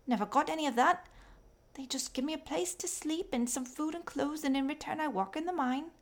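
Lady putting on a high thin raspy little coal-mine girl, tired, short clipped answers. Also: fairly quick. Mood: plain.

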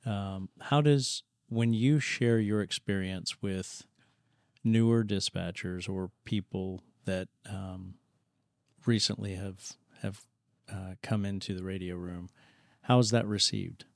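A man co-hosting a podcast interview, speaking in a measured, reflective way with many fillers. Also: low voice, steady tone, a little mellow, no echo, studio quality. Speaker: podcast co-host. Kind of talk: conversational interview.

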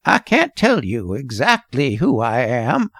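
A man's voice: medium pitch, wildly swooping pitch, creaky, fairly bright tone, breathy edge, no echo, clean studio sound, exaggerated voice. A man voicing a weary, querulous old man in an audiobook story, with a cracked, weak, whining voice. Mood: weary, complaining. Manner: querulous, slow, complaining.

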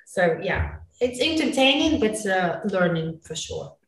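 A woman's voice: medium pitch, very animated, a little slow, a little hiss or hum. Female interview guest, conversational, searching for words, non-native phrasing, echoey room, distant microphone. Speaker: interview guest, recruiting sourcer. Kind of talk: casual conversational interview answers. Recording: roomy, echoey, distant mic.

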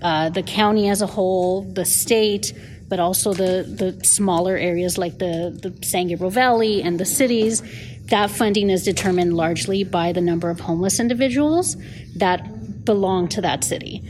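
A woman's voice: medium pitch, moderate pace, a little mellow. Woman interviewed over noticeable background noise. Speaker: city management analyst. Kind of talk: spontaneous interview answers.

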